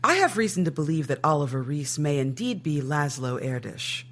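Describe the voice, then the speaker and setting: A guarded intelligence agent in an audio drama. The delivery is formal and firm, with lively pitch movement and measured phrasing.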